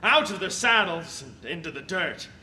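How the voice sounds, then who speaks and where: A man playing a garrulous, put-upon comic servant in a Shakespearean audio play, speaking theatrically with halting hesitations. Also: sits high, very animated, pushed and bright, exaggerated voice. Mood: aggrieved storytelling. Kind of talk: Shakespearean stage-style audio play acting.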